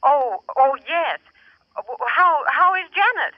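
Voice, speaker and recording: A woman's voice: high voice, very animated, slow, no echo, exaggerated voice. An actress playing a flustered, hesitant middle-aged mother-in-law in a 1940s radio drama. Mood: flustered, surprised. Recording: muffled old radio telephone scene.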